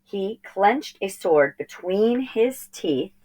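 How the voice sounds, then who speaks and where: A woman reading a children's story aloud in an expressive narrating style. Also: medium pitch, slow pace. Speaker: storybook reader.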